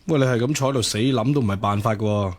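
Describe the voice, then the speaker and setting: A man's voice: medium pitch, rapid-fire, lightly acted. Cocky young Hong Kong womanizer played by a man. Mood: sly, teasing. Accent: Hong Kong Cantonese. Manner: colloquial, teasing, theatrical mock-wisdom. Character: cocky young male student womanizer, teasing, worldly, flippant. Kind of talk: Cantonese radio drama dialogue.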